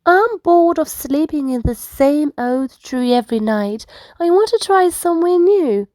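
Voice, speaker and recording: A woman's voice: high voice, expressive, measured pace, darkish tone, no echo, voice pushed up. A woman voicing a curious, restless little girl sloth in a children's storybook, eager and piping. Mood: bored, pleading. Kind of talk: children's storybook read-aloud.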